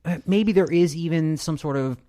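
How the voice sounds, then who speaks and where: A man's voice: a little high, normal speed, dark tone. A man hosting an interview in a conversational style, reflective and often searching for his words. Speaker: podcast host, interviewer.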